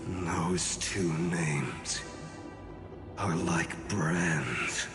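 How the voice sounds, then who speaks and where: Game role: time-worn male warrior, halting and heavy, slow, in an echoing space. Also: deep voice. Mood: weary, brooding. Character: suffering, burdened warrior worn down by endless time. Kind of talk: dramatic video game dialogue. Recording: echoing voice under loud music.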